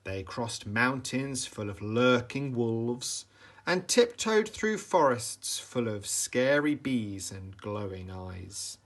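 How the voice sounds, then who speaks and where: A man reads a bedtime story to young children. His delivery is slow and very lively, with dramatic emphasis and a bright, forceful tone. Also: mid-range voice. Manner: animated, dramatic, deliberate emphasis for young listeners. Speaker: storybook reader, teacher.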